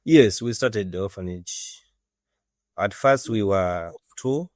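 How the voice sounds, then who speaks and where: Low-voiced Ugandan man in his thirties. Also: slow pace, darkish tone, dry recording, studio quality. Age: 30s. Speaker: interview guest, orphanage director. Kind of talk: conversational interview answers.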